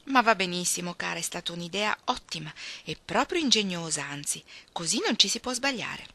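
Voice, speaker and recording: A woman's voice: on the low side, expressive, brisk, vocal fry, pushed and bright, exaggerated voice. A woman voicing a sensible, kind eldest teenage sister in an audiobook, composed and reassuring. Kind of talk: audiobook character voice.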